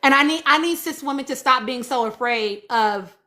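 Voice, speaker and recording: Bright, high-voiced woman speaking with emphasis. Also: moderate pace. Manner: emphatic, repeats phrases for stress, urging listeners. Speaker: live-stream host reading and answering audience comments. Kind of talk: impassioned informal live commentary.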